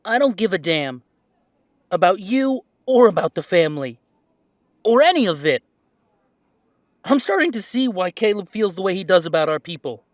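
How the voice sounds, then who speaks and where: A man playing a stubborn, passionate young werewolf son in an audio drama, speaking emphatically and forcefully. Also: high-pitched, big pitch swings, moderate pace, long pauses, no echo, studio quality. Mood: angry defiance.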